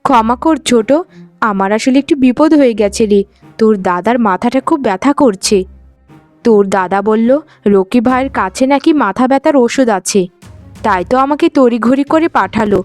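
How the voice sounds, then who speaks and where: A woman voicing a gentle, dutiful sister-in-law in a cartoon village family drama, speaking patiently. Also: a little high, expressive, moderate pace, dry recording, soft background music, lightly acted. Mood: apologetic. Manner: patient, conciliatory.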